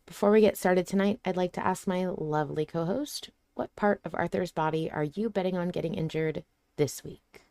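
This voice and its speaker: Female podcast host, low-pitched and smooth, casual and enthusiastic delivery. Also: moderate pace, dark tone, no echo. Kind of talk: casual podcast discussion with an introduction.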